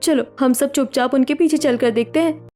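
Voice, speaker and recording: A woman plays a caring young city bride in a family cartoon. The voice is very high and full of sympathetic concern. Light music runs underneath. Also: normal speed, lightly acted. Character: kind, concerned young city daughter-in-law. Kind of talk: Hindi family cartoon story acting.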